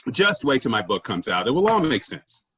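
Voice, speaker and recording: A pompous, self-centered boss, acted by a man in a staged reading. He sounds husky and aggrieved, with an animated melody. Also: medium pitch, a little fast. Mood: pompous, affronted.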